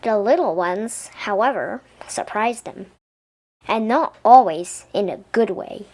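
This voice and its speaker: A woman reading a children's story aloud as an expressive narrator. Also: medium pitch, unhurried, low-quality recording. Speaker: storybook reader.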